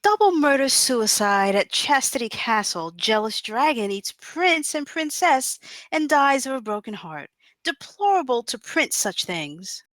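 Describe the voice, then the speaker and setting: A woman plays a shrewd fairy godmother in a comedy script reading. Her voice is fairly high and swoops expressively, stern and theatrical with a cool threat beneath it. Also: sing-song, unhurried, fairly bright tone, no room sound, exaggerated voice. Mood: disapproving. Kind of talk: comedic screenplay table read.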